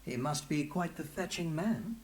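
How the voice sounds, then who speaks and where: A man performs a sly underworld bard for a fantasy video game. His delivery is florid and teasing, leaping about in pitch with theatrical flair. Faint noise sits behind the voice. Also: expressive, normal speed, a little mellow, close to an everyday voice. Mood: playful. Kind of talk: scripted video game acting.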